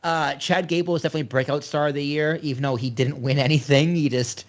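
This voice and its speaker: A man's voice: on the high side, steady tone, fast. A man co-hosting a podcast, talking loosely and enthusiastically in casual chat. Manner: loose, rambling, enthusiastic fan talk. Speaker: podcast co-host.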